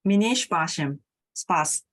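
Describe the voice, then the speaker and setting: A woman's voice: lowish voice, expressive, slow pace, a bit bright. A woman student in an online class, practicing new phrases hesitantly. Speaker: language student.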